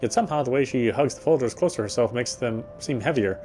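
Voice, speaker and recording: A man's voice: medium pitch, expressive, quick pace, mellow, soft background music, close to an everyday voice. An actor playing a weary male city detective, narrating in the first person with a wry inner voice.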